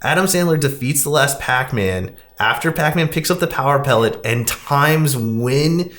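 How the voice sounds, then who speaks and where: Podcast host, man, casual and halting, wanders through retellings. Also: mid-range voice, expressive, fairly bright tone. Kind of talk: casual chat, recapping a film.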